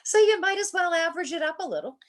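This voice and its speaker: A woman playing a patient, loving wife in an old-time radio comedy, folksy and gently teasing. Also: very high voice, animated, fast.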